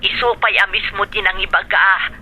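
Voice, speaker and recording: A woman playing a caring, concerned middle-aged older sister with a creaky voice in an Ilocano radio drama. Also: mid-range voice, steady tone, moderate pace, pushed and bright, a little hiss or hum, low-quality recording. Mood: warm, persuading.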